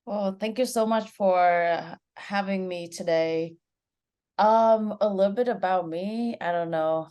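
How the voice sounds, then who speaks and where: A woman's voice: medium pitch, takes it slowly, a little mellow, clear, resonant tone. A woman in her twenties from Vietnam, speaking with a Vietnamese accent, chatting casually as a guest director in an interview and sprinkling her talk with "like". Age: 20s. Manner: chatty, leans heavily on "like".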